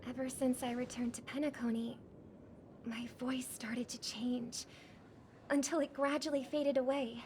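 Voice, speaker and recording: A woman voicing a gentle, idealistic young female singer in a video game, speaking in a measured, softly formal way. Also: high voice, flat delivery, slight background noise. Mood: grave, regretful. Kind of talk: scripted video game voice acting. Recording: music behind the voice.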